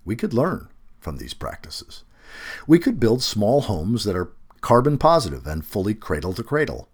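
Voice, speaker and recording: A man reading a written essay aloud as scripted narration, in an emphatic, expressive manner. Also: on the low side, normal speed, breathy edge. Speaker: audio narrator reading a feature article.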